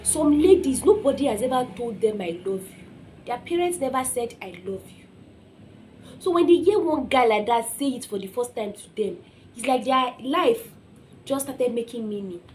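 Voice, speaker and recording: A woman's voice: fairly high pitch, lively intonation, normal speed, darkish tone, a little hiss or hum. A young Nigerian woman speaking as an informal motivational speaker, telling personal stories emphatically, acting out quotes and often checking in with listeners.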